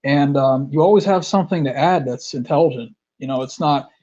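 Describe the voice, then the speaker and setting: A man with a warm, dark voice hosts a loose, friendly interview. He circles back on his words and leans on fillers. The sound is dull and lo-fi. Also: a little high, a little fast. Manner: relaxed, repeats words, many fillers. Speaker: podcast host. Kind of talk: casual interview chat.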